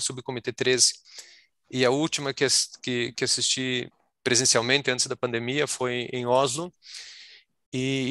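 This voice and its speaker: A man's voice: mid-range voice, bright, forceful tone, no echo, clean studio sound. A Brazilian man answering as a panelist on a video call, explaining at length and often repeating words as he searches for them.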